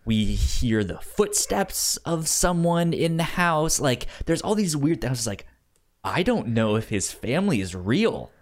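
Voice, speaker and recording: A man co-hosting a podcast, talking casually about a film in a halting way, often repeating syllables as he searches for words. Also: fairly high pitch, sing-song. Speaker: podcast co-host.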